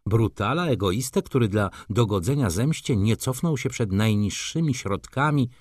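A man voicing an imperious, flirtatious society lady from a novel, commanding and theatrical, quick to scornful indignation. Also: fairly low pitch, lively intonation, darkish tone, dry recording, clean studio sound, pitched above the performer's own voice. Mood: agitated.

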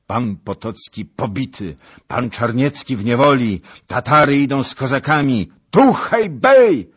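A man's voice: sits low, very animated, moderate pace, slightly rough, heavily acted. A man voicing a panicked servant messenger fleeing with dire news in a historical storybook, with urgent, emphatic delivery. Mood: horrified, urgent.

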